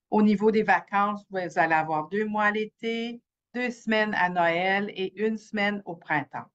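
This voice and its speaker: A woman giving an informational presentation, halting often with fillers. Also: medium pitch, dull audio.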